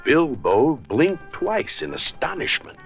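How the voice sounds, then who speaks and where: A man narrating a scripted children's story in a dramatic, deliberate storybook style. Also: a little high, big pitch swings, breathy edge, soft background music.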